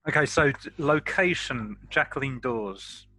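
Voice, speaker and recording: A man's voice: medium pitch, lively intonation, unhurried, pushed and bright, dry recording. A man playing a tabletop roleplaying game, casually talking through what his character will do and ask.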